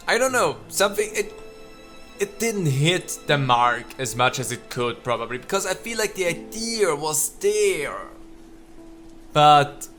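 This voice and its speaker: A man giving casual let's play commentary as a gaming video host, haltingly thinking out loud as he goes. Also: a little high.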